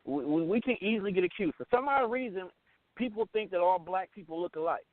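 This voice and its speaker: A man's voice: high-pitched, expressive, fast talker, no room sound. An American man, middle-aged, talking casually and candidly as a radio show host, blunt and emphatic, often repeating his phrases. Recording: tinny, phone-like band-limited audio.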